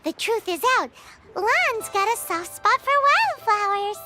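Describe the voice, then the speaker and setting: A woman voicing a small, excitable, cheeky fairy companion in a video game, with a squeaky childlike voice and a chirpy manner. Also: unhurried, over loud music, exaggerated voice. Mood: eager, chirpy. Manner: refers to herself in third person, chirpy. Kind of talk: video game character acting.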